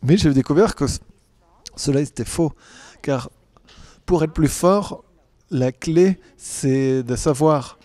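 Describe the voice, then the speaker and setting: A male conference interpreter with a warm, mellow voice, rendering a panel speaker live in short halting phrases. He often breaks off and corrects himself as he goes. The sound is dry and close, with no room around it. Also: a little high, dry recording. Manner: halting, with restarts and self-corrections.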